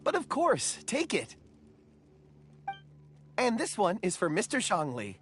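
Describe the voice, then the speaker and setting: An actor playing a gentle, polite, earnest young man with a dreamy air in a video game. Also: sits high, huge pitch swings, slow pace, a little hiss or hum, exaggerated voice. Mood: pleased, earnest.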